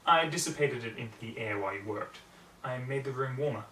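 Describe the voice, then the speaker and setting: Patient mare mentor read by a man, serious, measured, coaxing storybook teacher, echoey room with faint noise. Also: medium pitch, a bit bright, lightly acted. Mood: explaining. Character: patient, serious female unicorn pony, magic teacher. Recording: roomy, distant mic, faint noise.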